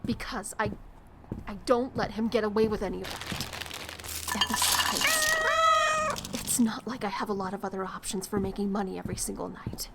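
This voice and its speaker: A woman's voice: medium pitch, wildly swooping pitch, moderate pace, pauses often, very noisy. Woman acting as tough, weary big-sister type. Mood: scolding, protective. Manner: blunt, weary. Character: tough, protective, world-weary young woman of the slums. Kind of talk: audio drama acting.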